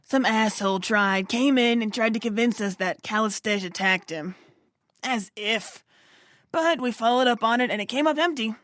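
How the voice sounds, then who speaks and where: A tough female security guard in an audio drama , played by a woman. Her voice is a little high and closely recorded. She is blunt, exasperated and takes no nonsense. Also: normal speed, fairly bright tone, no echo, studio quality, lightly acted. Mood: matter-of-fact.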